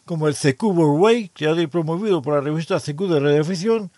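Measured man, fairly high voice. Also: warm tone, no echo, studio quality. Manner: measured, reads lists of places evenly. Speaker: host of an amateur radio program. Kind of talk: scripted explainer then interview.

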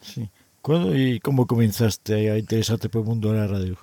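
Mellow-voiced man hosting a chat. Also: lowish voice, a little fast. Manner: friendly, conversational, prompts with short acknowledgments. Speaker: podcast host interviewing a guest. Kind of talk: casual interview.

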